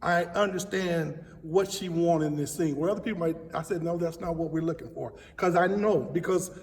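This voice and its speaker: A man's voice: fairly high pitch, normal speed, a little mellow. A man speaking casually as an interviewed director, earnest and emphatic, often repeating his words. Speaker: stage play director being interviewed. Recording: echoey hall, distant mic.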